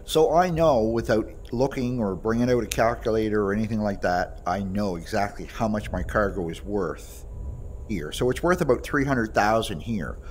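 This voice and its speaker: A man with a fairly low voice walks through a game casually. He explains things informally, over clear engine noise. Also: moderate pace. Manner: informal, explanatory, issues short voice commands. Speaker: game video presenter. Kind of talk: casual gameplay demonstration commentary.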